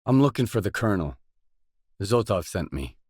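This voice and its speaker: A guarded video game drifter with a low voice. He speaks in short, matter-of-fact lines, recorded close and dry with no room around him. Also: very animated, normal speed, darkish tone, slightly rough, dry recording. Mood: guarded, questioning. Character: guarded, terse male drifter searching a dangerous zone.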